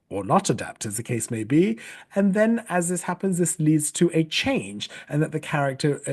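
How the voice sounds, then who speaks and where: Brief: man from Sri Lanka, husky, lively intonation, patient teacher explaining step by step. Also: a little high. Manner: patient and explanatory, with frequent restarts. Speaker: storytelling coach. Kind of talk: online teaching talk.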